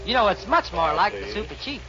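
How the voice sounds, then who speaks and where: A man's voice: sits high, animated, fast, creaky, noisy background. A man playing a cocky, wisecracking young cowboy with a dry, joking manner in a 1940s Western radio comedy. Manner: dry, joking, drawn to exaggeration. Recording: dull 1940s radio recording, music behind.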